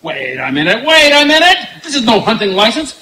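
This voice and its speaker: A man's voice: very high-pitched, wildly swooping pitch, fairly quick, pushed and bright, full character voice. A man playing the bossy, exasperated straight man of an old-time radio comedy duo, insistent and blustery. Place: outdoor night stage in woods, far camera. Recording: live outdoor stage with background noise.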